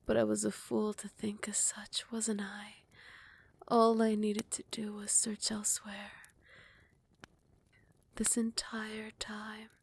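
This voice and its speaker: A woman's voice: mid-range voice, creaky, slight background noise. A woman voicing a possessive female vampire queen in an ASMR roleplay, moving from close, tender whispers to furious threats. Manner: soft close whispers turning to furious shouting. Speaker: voice actor.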